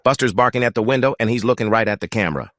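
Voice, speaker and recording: A man's voice: on the low side, racing, a bit bright, no room sound, clean studio sound, lightly acted. A man voicing a worried, frightened wife on a phone call, strained and anxious in manner. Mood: shaken.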